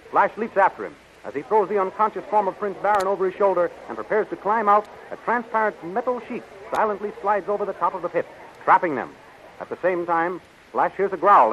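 High-pitched dramatic radio announcer. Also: male voice, a bit bright. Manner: dramatic, declamatory. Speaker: announcer narrator. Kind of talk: 1930s radio scripted narration. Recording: muffled, hissy 1930s radio recording.

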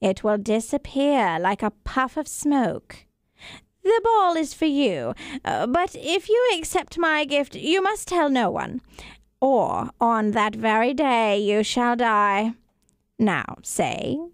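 A woman voicing a mysterious old woman in a storybook, speaking slowly and deliberately with solemn warning. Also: on the high side, very animated, no room sound, clean studio sound, heavily acted. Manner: slow, drawn-out, deliberate.

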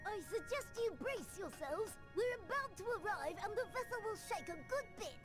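A woman's voice: very high voice, lively intonation, moderate pace. A woman voicing a small, chatty, fussy rabbit engineer in a video game, earnest and chirpy. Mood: earnest advice. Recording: music and tinkling behind voice.

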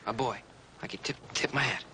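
An actor playing a patient man teaching sign language to a deaf child, coaxing her and repeating single words slowly, in a loud, noisy film soundtrack. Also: medium pitch, lightly acted.

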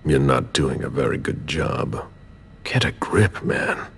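A very deep, stern vampire investigator. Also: male voice, lively intonation, slow pace, vocal fry, a little hiss or hum, full character voice. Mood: menacing, impatient. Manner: curt, interrogating, intimidating. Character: stern, commanding male vampire investigator, coldly impatient. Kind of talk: video game cutscene acting.

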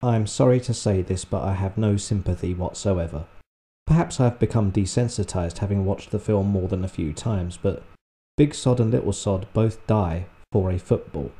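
A British man giving a scripted review narration in a deadpan, sardonic, sweary manner. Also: low-pitched, moderate pace, breathy edge. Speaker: film reviewer.

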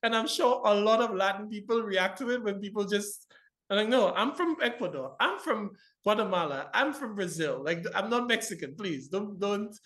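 A man's voice: high-pitched, expressive, a bit bright. Reflective Caribbean man, film expert. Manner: expansive, reflective, quick laughs. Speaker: podcast guest, film expert. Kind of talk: casual chat.

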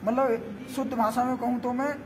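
A man's voice: extremely high pitch, level tone, moderate pace, background noise. A young man working as a welding foreman, explaining safety gear earnestly in an on-site interview in a large workshop shed. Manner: earnest, explains while holding up his gear.

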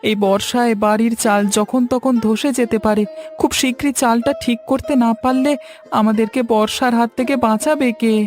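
A woman's voice: a little high, steady tone, moderate pace, darkish tone, faint music behind, lightly acted. A woman voicing a poor young village grandson in a cartoon story, plaintive, questioning and grumbling. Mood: worried, grumbling. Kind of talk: Bengali cartoon story voice acting.

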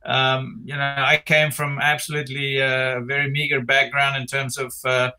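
A bright, clear-toned man in his sixties with a South African accent talks over a video call from a home office. He rambles in a relaxed way, leaning on fillers and restarting his sentences. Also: medium pitch, normal speed, low-quality recording. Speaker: interview guest, retired tennis player. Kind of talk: casual interview chat. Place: home office with framed pictures.

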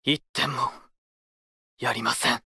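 A man playing a proud, determined young baseball pitcher in a game. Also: steady tone, slow pace. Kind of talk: anime baseball game voice lines. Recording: loud music under the voice.

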